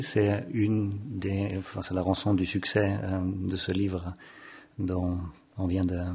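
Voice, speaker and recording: Guy giving a design talk on stage, lots of euhs, keeps hunting for words, lowish voice. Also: warm tone, husky. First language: French. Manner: hesitant, often searching for words with many fillers. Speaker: graphic designer giving a lecture. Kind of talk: conference talk. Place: stage of a lecture hall, seated at a desk.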